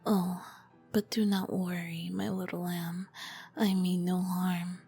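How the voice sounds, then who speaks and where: A woman playing an ancient, charming, flirty vampire queen in a whispered ASMR roleplay, with elegant, formal diction and a soft breathy voice. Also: on the low side, flat delivery, slow, soft background music, heavily acted. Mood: proud, protective.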